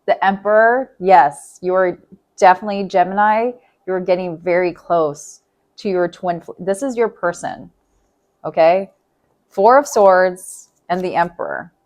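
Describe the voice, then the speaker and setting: A woman reading tarot in a casual, chatty way, thinking aloud and repeating herself, her voice going hoarse. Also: fairly low pitch, measured pace, darkish tone, muffled recording. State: losing her voice. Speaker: tarot reader.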